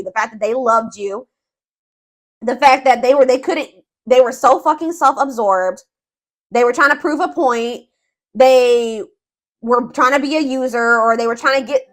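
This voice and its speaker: A woman's voice: a little high, fairly bright tone. A woman reading tarot for viewers in a casual, informal way, thinking aloud as she goes, with emphatic points. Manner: informal, conversational, searching for words aloud, emphatic. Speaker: tarot reader, host.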